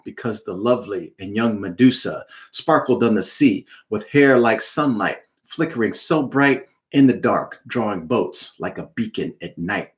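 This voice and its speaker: Man hosting an expressive read-aloud. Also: lowish voice. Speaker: children's author hosting a live read-aloud. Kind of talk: casual chat and expressive poetry reading.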